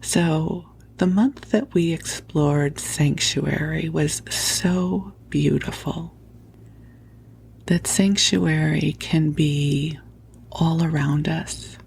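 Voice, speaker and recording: A woman speaks in a slow, hushed whisper with a crackly, creaky edge. She is tender and soothing, like a guide easing a listener to sleep with spiritual talk. The microphone is very close, with a faint noise behind her. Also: low voice, steady tone. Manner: soothing, tender, unhurried, affectionate. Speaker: sleep podcast host and spiritual teacher. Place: at home under a blanket.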